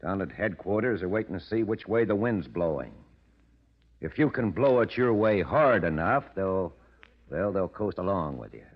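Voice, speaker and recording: An actor playing a sly, needling press agent who goads a gangster, in 1940s radio drama style. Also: male voice, low-pitched, animated, moderate pace. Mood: sly, goading. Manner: insinuating, needling, smooth-talking schemer. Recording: dull vintage radio broadcast.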